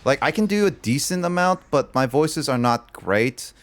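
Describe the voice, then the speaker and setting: A man's voice: mid-range voice, expressive, moderate pace. A man chatting casually on a livestream, rambling and filling his talk with "like". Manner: rambling, loaded with "like" fillers.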